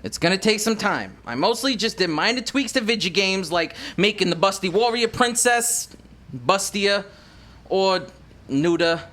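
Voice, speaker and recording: A man's voice: high-pitched, expressive, unhurried, bright, forceful tone, full character voice. Man playing a crude, lecherous hacker. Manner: crude, pleading, laughing.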